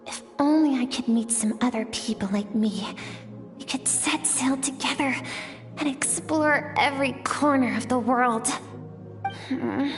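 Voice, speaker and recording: High, slow-speaking dreamy little girl. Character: dreamy, curious little girl yearning for adventure. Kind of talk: dramatic video game monologue. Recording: long reverb, music underneath.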